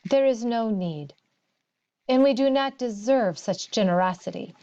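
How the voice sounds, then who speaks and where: A woman's voice: on the high side, a little slow, mellow, lo-fi sound. A woman voicing a humble, formal shopkeeper father in a storybook, deferential yet firm. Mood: reluctant.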